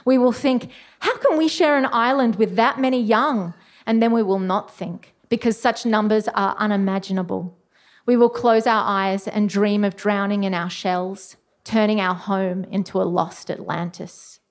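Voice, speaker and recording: A woman's voice: medium pitch, steady tone, normal speed. A woman reading her own literary short story aloud as its writer.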